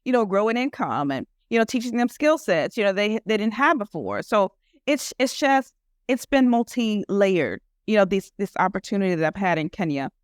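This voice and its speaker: A woman's voice: medium pitch, expressive, normal speed, no echo, studio quality. A woman guest in a casual interview who talks earnestly about her work, rambling and filling her speech with "you know". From United States. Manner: earnest, rambling with many fillers. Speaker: guest entrepreneur.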